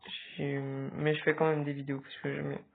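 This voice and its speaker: A man with a cold giving hesitant, casual commentary over a game, with sudden excited outbursts. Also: a little high, a little fast, gravelly, slight background noise. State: stuffy with a cold. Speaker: gaming video creator. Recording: tinny phone-like recording.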